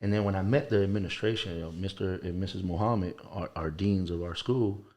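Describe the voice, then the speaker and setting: A man's voice: low voice, brisk, darkish tone. A man, a coach being interviewed, talking informally and earnestly, repeating phrases for emphasis. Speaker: coach and gym owner, podcast guest. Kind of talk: casual interview chat.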